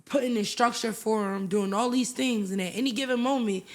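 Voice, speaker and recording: This is a young woman from West Philadelphia with a clear Philly accent. She sits as a guest on a podcast and tells her story in casual, animated talk. She fills it with "like" and acts out what other people said. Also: a little high, fairly quick. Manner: animated, acts out voices in her stories, lots of "like".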